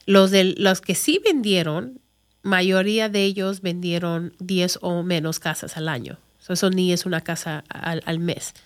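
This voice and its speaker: A woman's voice: on the low side, fairly even intonation, unhurried. A woman from Chicago with an American accent, a native English speaker, giving an informal, conversational explainer as a real estate agent and mixing in English words and phrases. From Chicago, United States. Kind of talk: informal explainer talk to viewers.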